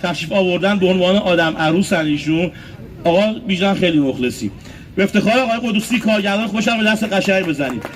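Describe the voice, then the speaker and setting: A man's voice: sits high, a little hiss or hum. A man from Isfahan, Iran, presenting a comic street puppet show, playfully coaxing the puppets and addressing the audience directly. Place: outdoor street. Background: loud music.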